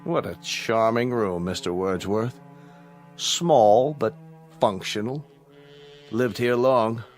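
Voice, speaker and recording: An actor playing a cruel totalitarian chancellor in a radio drama, formal, condescending and mockingly polite. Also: male voice, lowish voice, slow pace, soft background music. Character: coldly cruel, smug totalitarian state chancellor, a man.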